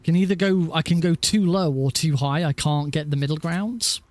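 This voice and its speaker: A man with a naturally high voice, rambling casually and self-deprecatingly as he hosts a gaming livestream. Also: normal speed, a little mellow, dry recording. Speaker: livestreaming speedrunner and host. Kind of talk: casual livestream chat over gameplay.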